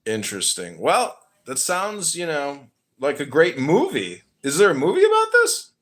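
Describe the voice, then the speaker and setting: A man's voice: fairly high pitch, wildly swooping pitch, normal speed, fairly bright tone. An American man hosting a podcast, asking provocative, mock-naive questions with sarcasm and swearing. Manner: feigns ignorance, sarcastic, swears. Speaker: podcast host.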